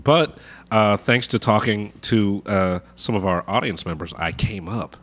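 A man's voice: fairly low pitch, measured pace, a bit bright. A man guesting on a live podcast in a coffee shop, stuffy from allergies, self-deprecating and swearing as he talks. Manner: self-deprecating, profane, trails off. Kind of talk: casual live podcast banter.

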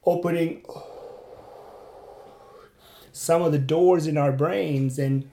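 A man's voice: on the high side, fairly even intonation, slow, mellow, echoey room, a little hiss or hum. A man from Turkey hosting a casual podcast monologue while running, rambling and reflective with many fillers. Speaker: podcast host and comedian.

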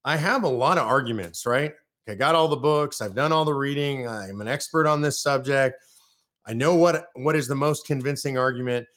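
A man's voice: mid-range voice, normal speed, fairly bright tone. American guy from california ranting hard on a live show, heated, repeats himself for punch. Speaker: political show host. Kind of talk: live political commentary.

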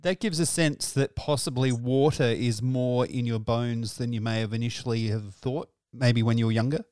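A male radio interviewer asks questions in a measured, curious way. His voice sits at an ordinary pitch for a man. It is recorded close and dry, with no room sound. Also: steady tone, darkish tone, clear, resonant tone, no echo.

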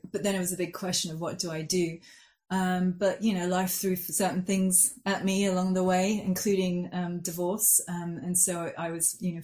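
A woman's voice: fairly low pitch, a little mellow. A woman from Switzerland who works as a life coach and part-time lawyer. She talks through her answers thoughtfully, with frequent restarts and "um"s, over a video call. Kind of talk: conversational interview answers.

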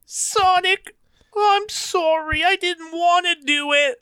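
A man voicing a tearful, unhinged young male fox in a frantic, sobbing falsetto. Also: slow, sharp tone, dry recording, heavily acted.

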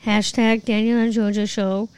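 A woman's voice: mid-range voice, little pitch movement, moderate pace, darkish tone, clear, resonant tone, no echo. Female podcast co-host, mid voice, dry, laid-back with a giggle. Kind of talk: casual podcast chat.

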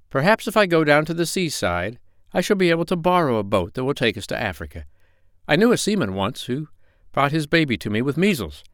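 A man's voice: mid-range voice, no echo, clean studio sound, pitched above the performer's own voice, close to an everyday voice. A man doing a kindly dithering old doctor. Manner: mild, fussy, dithering. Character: kindly absent-minded male animal doctor.

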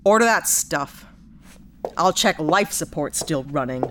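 An actress playing a brisk, exasperated female spaceship captain in a sci-fi audio drama, with a dry, curt manner. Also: deep voice, animated, fairly bright tone, a little hiss or hum. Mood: irritated.

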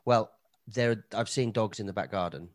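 A man's voice: fairly low pitch, normal speed, no room sound. A man, co-hosting a podcast in casual chat, dry and joking, a bit hungover. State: slightly hungover. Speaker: podcast co-host.

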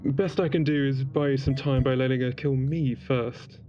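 An actor plays a male spaceship captain in a science-fiction radio play, loyal and playful. He talks at a medium pitch in a jokey, mock-formal way, with light music behind him. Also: steady tone, normal speed, dark tone, dull audio, close to an everyday voice. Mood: reassuring, wry. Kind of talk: sci-fi audio drama acting.

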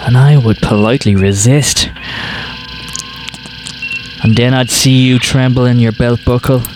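A man's voice: fairly even intonation, a little slow, lightly acted. Man acting as nerdy young college lad, mid-range voice, exasperated, sarcastic, theatrical, audio drama, noisy ambience. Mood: lustful, theatrical. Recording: noisy, with ambient rumble behind.

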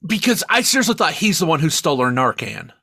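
Excitable man with a bright, higher voice. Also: fast, gravelly, slightly rough, no room sound. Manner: excitable, profane, rambling. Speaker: podcast host. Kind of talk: casual film review chat.

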